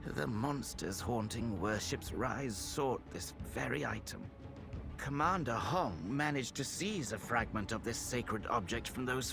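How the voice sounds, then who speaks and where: A man playing a zealous, brooding temple scholar in a fantasy video game, speaking in measured, theatrical, formal phrases. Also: mid-range voice, creaky. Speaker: video game character actor. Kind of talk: dramatic fantasy game dialogue.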